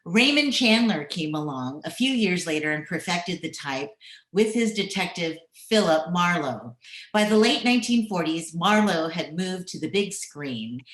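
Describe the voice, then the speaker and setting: Low-pitched woman announcer, roomy echo. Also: animated, measured pace, fairly bright tone. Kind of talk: scripted introduction to old-time radio drama. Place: indoor room on a video call. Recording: roomy, echoey home recording.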